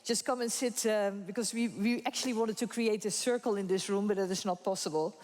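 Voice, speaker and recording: A woman's voice: medium pitch, fairly even intonation, brisk, darkish tone. A woman moderating a conference panel, chatty and informal, laughing easily. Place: conference room with audience. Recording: reverberant conference hall.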